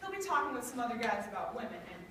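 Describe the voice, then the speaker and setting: A woman's voice: a little high, big pitch swings, rapid-fire. A young woman giving scripted comic narration on stage. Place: school chapel stage before an audience. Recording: very echoey hall, distant mic, faint noise.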